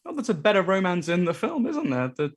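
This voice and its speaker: A man's voice: high voice, big pitch swings, a little fast. A man on a panel making wry, joking remarks in a casual film commentary.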